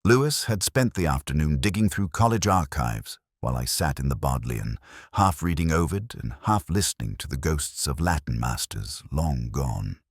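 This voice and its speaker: A man narrating a scripted detective story as a brooding police inspector, in a measured, solemn, literary way. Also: rumbling low, expressive, no room sound, studio quality.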